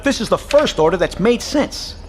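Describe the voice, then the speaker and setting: Sardonic, exasperated sub captain, animated. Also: male voice, high-pitched, moderate pace. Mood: irritated relief. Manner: profane, sardonic. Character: gruff, sarcastic, exasperated male submarine captain. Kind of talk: audio drama acting.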